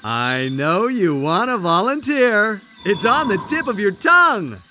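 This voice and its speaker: An actor playing a showy, brash contest master of ceremonies in a cartoon adventure game, hyping and cajoling theatrically. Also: male voice, high-pitched, big pitch swings, bright, forceful tone, soft background music, full character voice. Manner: theatrical, drawn-out hype. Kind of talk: carnival-barker announcing in a cartoon adventure game.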